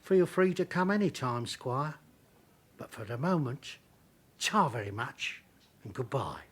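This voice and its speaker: An actor playing a sly, mock-deferential second-hand dealer with a London accent in a British radio drama. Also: male voice, mid-range voice, big pitch swings, measured pace, breathy edge. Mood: cheeky defiance. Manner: mock-deferential, evasive, cheeky. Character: sly, wheedling cockney second-hand furniture dealer, outwardly servile.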